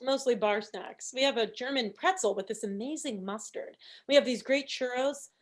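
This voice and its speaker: A woman's voice: fairly high pitch, moderate pace, close to an everyday voice. A woman acting in a table read as a chipper, helpful young bartender. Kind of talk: casual screenplay table read.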